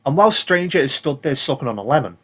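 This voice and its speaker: Higher-pitched British man, casual and rambling. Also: very animated, quick pace. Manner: rambling, plain-spoken, sweary. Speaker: gaming video creator and host. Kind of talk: casual explanatory video commentary.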